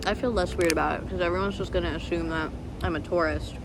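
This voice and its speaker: A woman's voice: on the low side, steady tone, fast talker, poor audio. A woman vlogging in a casual, rambling way, stuffy and congested, with lots of fillers as she chats to the camera. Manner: rambling and chatty, many fillers. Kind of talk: casual travel vlog chat. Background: loud background music.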